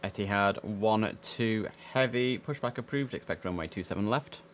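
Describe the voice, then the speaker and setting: A young man with a deep, low voice works as a game air traffic controller, switching from crisp radio calls to relaxed chatter. His audio carries a clear layer of background noise. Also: moderate pace. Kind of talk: gameplay commentary with radio phraseology. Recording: noisy game stream audio.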